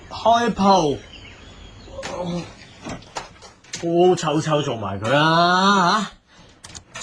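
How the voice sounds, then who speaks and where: Hapless young male cafe owner played by an actor, Hong Kong Cantonese, lightly high voice with lively swings, defensive and brooding, drama over music. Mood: wistful, resolute. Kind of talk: audio drama with introspective narration and dialogue. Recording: music behind the voice.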